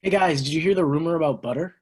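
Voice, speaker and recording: A man playing an excitable high school boy in an amateur script table read. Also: fast, breathy edge. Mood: eager.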